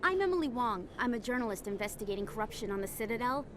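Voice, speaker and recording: A woman's voice: fairly high pitch, animated, fast talker, background noise, close to an everyday voice. An actress playing an eager young woman journalist who asks probing questions. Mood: inquisitive. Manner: probing, inquisitive. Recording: game scene with music.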